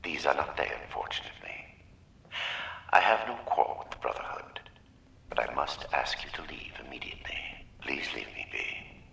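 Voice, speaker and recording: An actor playing a weary, guarded scientist in a video game, speaking in a formal, measured, wordy way with foreboding. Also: male voice, extremely low pitch, big pitch swings, creaky, sharp tone, roomy sound, in a whisper, full character voice, low-quality recording.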